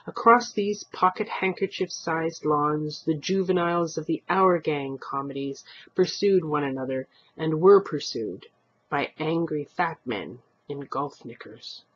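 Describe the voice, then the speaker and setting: A woman reading a short story aloud in an expressive narrating style. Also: low-pitched, slightly rough, dull audio. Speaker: amateur story reader.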